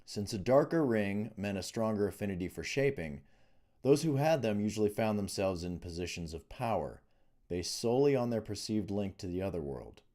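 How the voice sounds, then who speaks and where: A man narrates a fantasy novel as its author, reading from the written text. His voice sits low, with a dark, soft-edged timbre. The delivery is that of scripted audiobook storytelling. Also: expressive, normal speed. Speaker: author reading own book.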